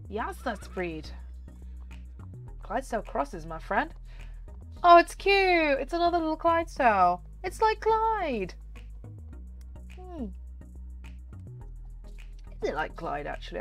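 A young woman with a cold, hoarse and chatty, commenting on a horse game she plays at home into a desk mic. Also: on the high side, a bit bright. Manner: chatty, talks to the game and viewers. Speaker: gaming channel host. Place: home room, indoors. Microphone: desk microphone on stand.

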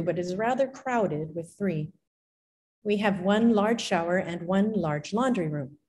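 Middle-aged female actress, medium pitch, expressive dramatic reading with clear diction and a conversational storytelling delivery. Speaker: actress reading historical letters. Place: indoor auditorium stage at a lectern. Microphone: gooseneck podium microphone.

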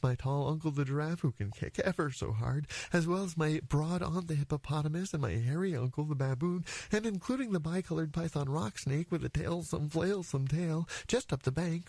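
A high, lilting young elephant played by a man, innocent and earnest. He is terribly courteous and wordy, pleading his way through a storybook tale. The pitch swings freely, with a slightly husky edge. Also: darkish tone, no room sound. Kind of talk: storybook character voice.

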